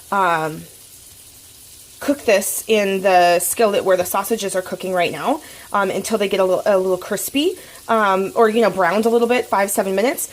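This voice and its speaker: A woman's voice: mid-range voice, slight background noise, poor audio. A woman vlogger with a cold, chatting casually through a home cooking video with lots of ums. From Oregon. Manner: chatty, rambling with many ums. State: has a cold. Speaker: fitness vlogger. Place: home kitchen.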